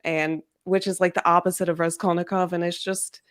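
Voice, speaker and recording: A woman in a casual book discussion, speaking in an informal, exclamatory and animated way. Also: fairly low pitch, fairly quick. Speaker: guest discussing a novel in a readalong livestream.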